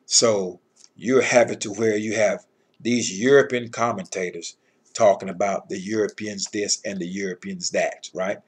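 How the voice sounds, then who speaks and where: A middle-aged man with a husky voice giving an informal scripture lesson in an emphatic, admonishing manner. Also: on the low side, steady tone, a little slow. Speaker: Bible teacher.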